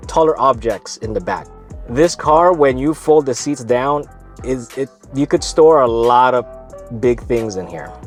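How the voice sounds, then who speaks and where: A man with a stuffy, congested voice, hosting his own video in an easygoing, rambling way. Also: medium pitch. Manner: easygoing, rambling with self-corrections. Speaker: vlog host. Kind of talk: casual talk to camera.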